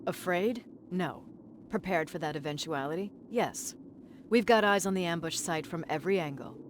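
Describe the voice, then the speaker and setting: A woman as a hard low-pitched military commander. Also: normal speed, a little hiss or hum, lightly acted. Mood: cold, blunt. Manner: blunt, commanding, profane. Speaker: resistance commander. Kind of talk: video game dramatic acting.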